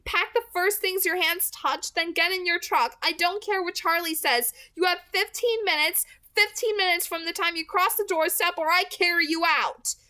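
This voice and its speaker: A woman's voice: very high-pitched, normal speed, few pauses, pushed and bright, voice pushed up. A woman voicing a furious, commanding young female vampire in an audiobook, urgent and emphatic, with snarled words. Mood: tense, commanding. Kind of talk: dramatic audiobook character reading.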